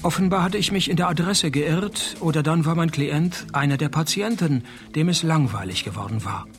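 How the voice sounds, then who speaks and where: A fairly high-pitched man acting as a wry private detective in a radio play. His narration and dialogue are dry, sarcastic and deadpan, with faint music. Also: normal speed, lightly acted. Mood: wry, matter-of-fact. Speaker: narrator and lead character.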